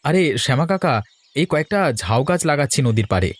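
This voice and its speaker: A man's voice: fairly high pitch, sing-song, fast talker, no echo. A man voicing an earnest younger villager in a moral-story cartoon, explaining things respectfully.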